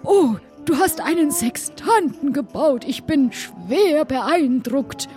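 Woman, improvised role-play, high voice, slow pace, audio adventure. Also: lively intonation, warm tone, faint music behind.

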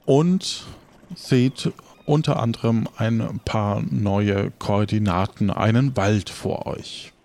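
A man's voice: fairly low pitch, slow pace, a little mellow. A man improvising tabletop game narration as game master, searching for his words as he describes scenes.

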